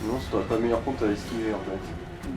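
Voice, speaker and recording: A man's voice: lowish voice, fast, over music. A man chatting casually in colloquial speech as he coaches another player through a game, giving tips as co-commentator. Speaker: let's play co-commentator guiding the player. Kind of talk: casual gaming chat. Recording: echoey room, faint background noise.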